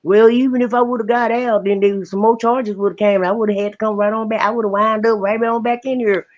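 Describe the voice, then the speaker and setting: A woman's voice: a little high, steady tone, fairly quick. An American woman giving blunt, colloquial opinions in a casual chat, heard through lo-fi call audio. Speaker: panelist on a commentary livestream. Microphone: video-call livestream. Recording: slightly dull, lo-fi call audio.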